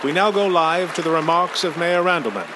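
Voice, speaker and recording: A man's voice: a little high, expressive, brisk, lightly acted. A man voicing a news reporter in a radio drama, who delivers breathless live-scene commentary. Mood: alarmed. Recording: radio drama with background hiss.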